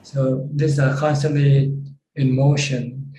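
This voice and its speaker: A man with a Thai accent teaching as a Buddhist monk in an informal question-and-answer talk, halting and plain-spoken. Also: medium pitch, level tone, mellow. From Thailand. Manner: halting, with pauses and repeated phrases. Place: video call from a roomy space. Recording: roomy distant mic with background noise.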